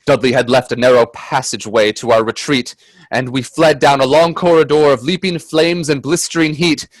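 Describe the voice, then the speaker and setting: A man playing an earnest, anxious gentleman companion in a radio play, sounding dramatic and grave. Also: medium pitch, fairly even intonation, no echo, natural voice, rough recording. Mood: grave narration. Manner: earnest, hesitant in dialogue, measured in narration.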